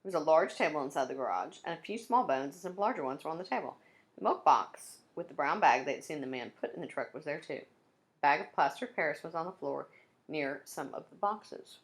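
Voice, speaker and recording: A woman's voice: low-pitched, lively intonation, fairly quick. A woman teacher reading a children's chapter book aloud, adding friendly asides to her young listeners. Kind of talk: children's read-aloud narration.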